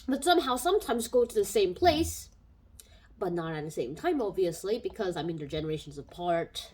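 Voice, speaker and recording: A woman talks through a book review in a casual, unscripted way. She thinks aloud and circles back on her points, and her pitch rises and falls a lot. She speaks into a home microphone. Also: poor audio. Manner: rambling, thinks aloud with fillers and restarts.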